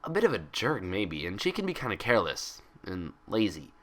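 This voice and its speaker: A man's voice: lowish voice, wildly swooping pitch, fairly quick, a bit bright. A man voicing an earnest, easily exasperated young female cartoon pony with an urgent, dry, defensive way of talking.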